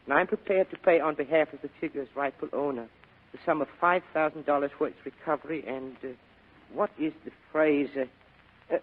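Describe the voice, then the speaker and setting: An actor playing a polite, fussy, sinister foreign crook in a 1940s radio drama, with formal, precise phrasing and hesitant pauses. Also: male voice, fairly high pitch, steady tone, lightly acted. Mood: formal, insistent. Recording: muffled vintage radio drama recording, phone-like band.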